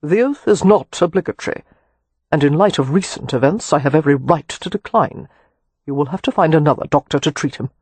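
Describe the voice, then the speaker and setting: A cold, dismissive doctor, put on by an audiobook narrator, curt and unbothered. The tone is slightly husky, with close, dry sound. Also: medium pitch, a little fast, darkish tone, no room sound, close to an everyday voice. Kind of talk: audiobook character voice.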